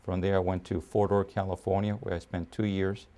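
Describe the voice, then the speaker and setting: A man in his fifties from Puerto Rico, raised in New York, speaking as an army officer in a documentary interview, measured with frequent pauses and fillers. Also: sits low, little pitch movement, dark tone. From Puerto Rico, raised in New York City. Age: 50s.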